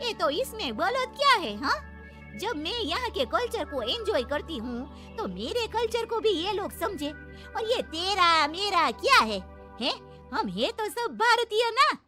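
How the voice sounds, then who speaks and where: A woman playing a cheerful, sharp-tongued young daughter-in-law with a bold, teasing manner in a cartoon story. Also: very high-pitched, big pitch swings, unhurried, soft background music, exaggerated voice. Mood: defiant. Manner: bold, sassy, teasing, with tag questions. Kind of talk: animated Hindi moral story acting.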